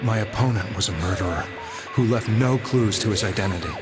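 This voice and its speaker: A male actor as a troubled, earnest man confessing in a video game drama. His delivery is solemn and halting, heavy with guilt. Prominent music plays behind him. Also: on the low side, close to an everyday voice. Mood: somber, reflective.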